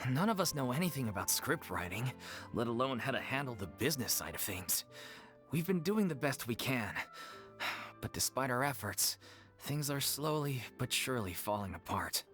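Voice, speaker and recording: A man's voice: medium pitch, fairly bright tone, lightly acted. A man voicing a worried, earnest young actor in a theater troupe in a video game. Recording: game soundtrack music behind.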